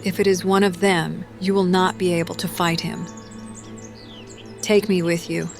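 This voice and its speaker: A woman playing a determined, proud young warrior in a dramatic audio drama, speaking in a measured, solemn way. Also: on the low side, flat delivery, over music, natural voice. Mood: resolute.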